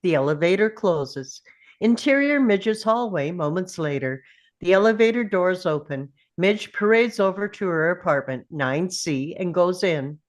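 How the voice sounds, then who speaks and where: A woman's voice: fairly low pitch, expressive, unhurried. A woman reading scripted stage directions as narrator at a table read.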